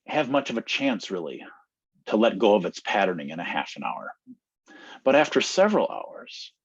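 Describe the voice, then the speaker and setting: A man's voice: low-pitched, slightly rough, no room sound. A man giving an informal meditation talk, speaking conversationally and often asking "right?" to his listeners. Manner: conversational, frequent "right?" and "you know". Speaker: meditation teacher. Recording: dull, lo-fi dry recording.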